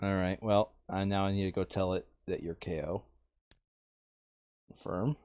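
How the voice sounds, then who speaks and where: A man's voice: low voice, steady tone, moderate pace, dry recording. A man chatting casually and jokily as he plays a tabletop game. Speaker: board game player. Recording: dull lo-fi sound.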